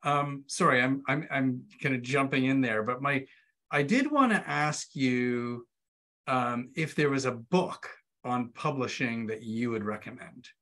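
Halting man hosting an online webinar. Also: mid-range voice, a bit bright. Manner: halting, repeats words. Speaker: webinar host. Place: room with bookshelves, indoors. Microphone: computer video call.

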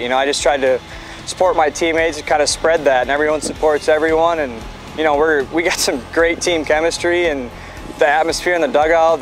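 A man's voice: a little high, a little hiss or hum, rough recording. A young man, a summer-league baseball player, talking casually and rambling with fillers in an outdoor ballpark interview. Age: young adult.

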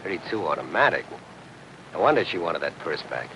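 An actor playing an inquisitive, dryly persistent middle-aged newspaper reporter in a 1950s television crime drama. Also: male voice, sits low, sing-song, a little fast. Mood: curious, insistent. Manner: questioning, dry, probing. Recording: noisy old 1950s film soundtrack.

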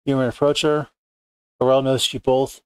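A man's voice: medium pitch, level tone, moderate pace, smooth, no room sound. A man reading second-person story narration aloud as the narrator of a game playthrough.

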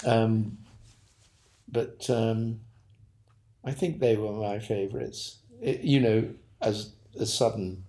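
An older man, a veteran voice actor, reminiscing in an interview with a halting, repetitive way of talking and soft chuckles. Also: fairly low pitch. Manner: halting, repeats words, chuckles softly.

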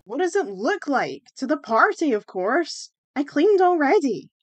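A woman voicing a haughty, self-satisfied teenage girl in a comic fan dub, with a dismissive, deliberate delivery. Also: high-pitched, big pitch swings, dry recording. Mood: smug.

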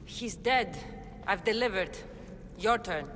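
An actress playing a calm, self-assured female mercenary warrior in a video game, speaking dryly. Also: mid-range voice, slow pace, a little hiss or hum. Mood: cool. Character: cool, confident female mercenary warrior, adult. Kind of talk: video game cutscene acting. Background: game score.

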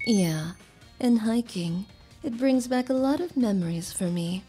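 A woman playing a polite, soft-spoken and hesitant lodge keeper in her early thirties in a manga dub. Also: a little high, lively intonation, a little slow, husky, soft background music. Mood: friendly, wistful.

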